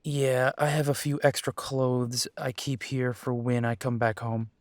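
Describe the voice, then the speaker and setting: A man's voice: medium pitch, little pitch movement, unhurried, dry recording, natural voice. A man playing a young, protective and determined werewolf in an audio roleplay, speaking earnestly. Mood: teasing, fond.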